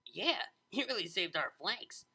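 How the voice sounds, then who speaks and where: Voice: medium pitch, animated, a little slow, gravelly, sharp tone, husky, pitched above the performer's own voice, full character voice. A narrator voicing a brash, playful young female unicorn DJ with slangy, laid-back speech in a story. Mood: casual. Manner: slangy, laid-back, stumbling over words.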